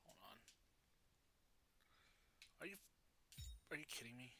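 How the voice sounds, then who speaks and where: A man's voice: mid-range voice, lively intonation, slow pace, vocal fry, background noise. A man giving casual gameplay commentary as a streamer, often muttering to himself. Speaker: game streamer. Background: loud game music.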